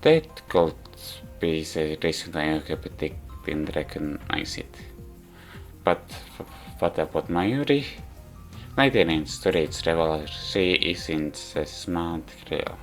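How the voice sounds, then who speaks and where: A man giving a rambling, halting spoken review of a visual novel in non-native English. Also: rumbling low. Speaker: video game reviewer. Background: background music.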